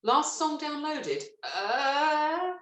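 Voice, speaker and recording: A middle-aged woman from Britain, a stage actress telling lively, self-mocking stories in an interview and laughing often. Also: high voice, drawn-out words. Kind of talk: relaxed anecdotal interview chat. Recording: roomy echo.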